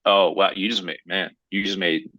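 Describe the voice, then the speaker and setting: A man chatting casually as a podcast guest, excitable and often halting, repeating his words as he goes. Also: deep voice, no echo, rough recording. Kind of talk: casual chat.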